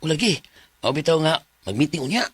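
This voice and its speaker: A man's voice: fairly high pitch, big pitch swings, fast talker, loud music behind the voice. A man with a Cebuano Filipino accent playing a chummy, teasing office friend in a radio drama. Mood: chatty. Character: chummy, nosy male office buddy, teasing and frank.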